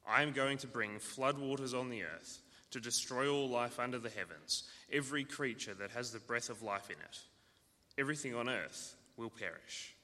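A man reading a Bible passage aloud in church, steady and measured. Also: medium pitch. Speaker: scripture reader. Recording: church hall reverb.

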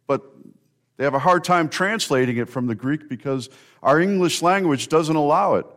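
A man preaching an Easter sermon as a pastor, earnest and warm in delivery, sometimes building to emphatic repetition. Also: fairly high pitch, lively intonation, normal speed.

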